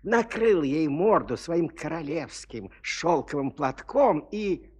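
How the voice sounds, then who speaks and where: A male narrator of a children's fairy-tale audio play. His voice is fairly high and husky, with lively rises and falls. He confides in listeners playfully, like a practised storyteller. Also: slow pace.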